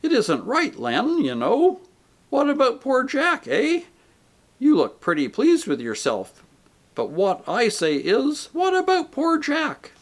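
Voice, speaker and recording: A man's voice: very high-pitched, huge pitch swings, slow pace, pitched above the performer's own voice, heavily acted. A man voicing a coarse, self-righteous male ghost who talks in blunt, indignant working-man speech.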